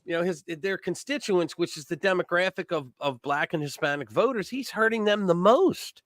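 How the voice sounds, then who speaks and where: A man's voice: sits high, expressive, moderate pace, fairly bright tone, dry recording, studio quality. Rambling male political talk host. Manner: rambling, repeats words while building a point. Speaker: host of a political call-in show. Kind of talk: casual political chat.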